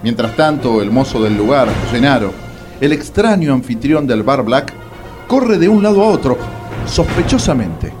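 An actor as a very animated theatrical radio narrator. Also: male voice, mid-range voice, moderate pace, natural voice. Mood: theatrical, teasing. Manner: theatrical, teasing announcer delivery. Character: teasing, theatrical male narrator of a comic radio serial. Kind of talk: dramatic scripted radio serial narration. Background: music behind the voice.

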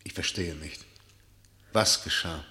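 A man's voice: rumbling low, slow, bright, forceful tone, heavily acted. A man playing a reserved, probing senior prosecutor in a German radio drama, asking questions in a formal, hesitant way. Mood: reserved, searching.

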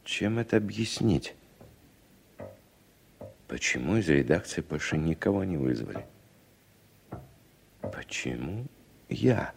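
An actor playing a principled, hot-headed young American writer in an earnest, emphatic Soviet radio drama style. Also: male voice, a bass voice, very animated, slow pace, vocal fry, pauses often, soft background music, full character voice. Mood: sombre narrating. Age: young adult. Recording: reverberant old radio recording with noise.

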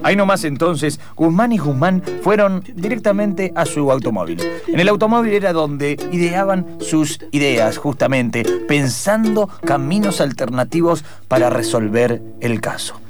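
A man with an Argentine accent narrating improvised radio theatre in a theatrical, deliberate manner. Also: fairly high pitch, expressive, music in the background. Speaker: narrator, presenter.

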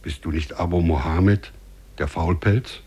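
Husky, haughty, greedy nobleman. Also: low voice, a little slow, warm tone, heavily acted. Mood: haughty, scornful. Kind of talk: 1950s German radio drama acting.

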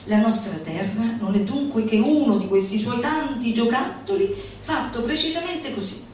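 A woman performing a theatrical, comic declamation with an emphatic, drawn-out delivery. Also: a little high, darkish tone, slight background noise. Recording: echoey live recording from far off. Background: music.

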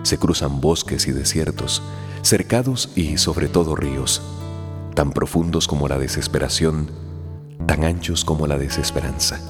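A man's voice: rumbling low, unhurried. A man giving solemn scripted narration for a radio drama. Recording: long reverb with guitar music.

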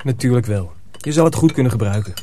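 An actor playing a kindly teenage miller's apprentice, earnest and reassuring, in a radio drama. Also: male voice, medium pitch, lively intonation, fast talker, mellow, a little hiss or hum, lightly acted. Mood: teasing, friendly.

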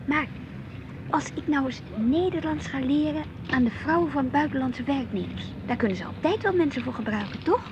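A woman's voice: high-pitched, expressive, moderate pace, dull audio, background noise. An actress playing a restless married woman who longs for adventure and presses her husband impatiently, in a radio play. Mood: hopeful, reasoning. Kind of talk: radio drama acting.

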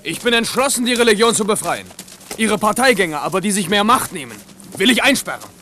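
An actor playing a confident, shrewd French king in a 1970s radio drama, speaking lively and commanding. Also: sits high, fairly quick, reverberant, slight background noise, heavily acted. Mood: assured.

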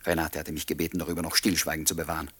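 A man's voice: rumbling low, flat delivery, very fast, fairly bright tone, full character voice. A man playing a bold but polite young suitor who also narrates his story in a German radio play. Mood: quiet, wistful. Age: young adult. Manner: courteous, provocative, crisp.

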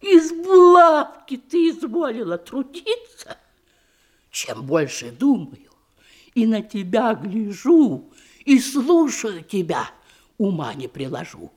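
An actress playing an old provincial widow, a bossy, effusive matchmaker in a verse radio comedy, with folksy, exclamatory delivery. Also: female voice, a little high, big pitch swings, darkish tone, exaggerated voice. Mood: astonished, pitying. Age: older. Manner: exclamatory, folksy, drawn-out declamation.

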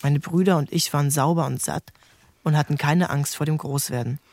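A man narrating a literary novel in a measured, storytelling manner. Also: fairly high pitch, a little mellow. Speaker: audiobook narrator.

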